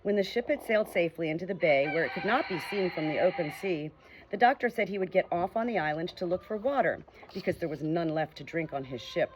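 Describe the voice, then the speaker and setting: A woman's voice: fairly low pitch, animated, fast talker, a little hiss or hum, low-quality recording. Woman reading a children's audiobook. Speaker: audiobook narrator.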